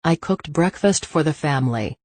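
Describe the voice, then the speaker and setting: A woman reading translated practice phrases slowly and evenly, one at a time. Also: sits low, no echo. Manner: slow, even, careful phrase-by-phrase reading. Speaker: phrase reader.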